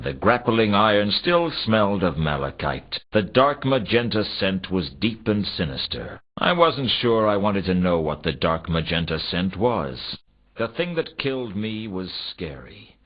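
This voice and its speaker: An actor playing a weary, wry werewolf private detective, narrating a noir game's inner monologue in a deadpan, brooding way. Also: male voice, deep voice, husky, heavily acted. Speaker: narrator and protagonist of a detective adventure game. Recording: dull, compressed 1990s game audio.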